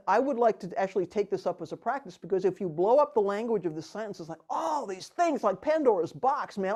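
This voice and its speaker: A woman leading a retreat discussion in a relaxed, animated way, laughing often and restarting her sentences. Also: medium pitch. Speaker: retreat teacher. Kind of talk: casual teaching talk.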